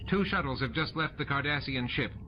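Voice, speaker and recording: A man's voice: mid-range voice, a little fast, voice pushed up, close to an everyday voice. A man voicing an urgent female space station officer, giving clipped reports in an audiobook. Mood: tense reporting. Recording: muffled audio under loud music.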